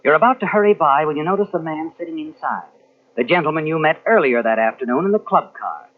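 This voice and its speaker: An actor playing a knowing, insinuating narrator in a 1940s radio suspense drama, speaking dramatically as if taunting the guilty hero. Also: male voice, on the high side, lively intonation, fast talker, close to an everyday voice. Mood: suspenseful. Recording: tinny old radio recording from cassette.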